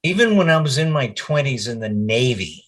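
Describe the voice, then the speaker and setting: A man's voice: mid-range voice, animated, moderate pace. A middle-aged man, a voice actor, telling anecdotes casually in an interview and acting out bits of quoted speech as he goes.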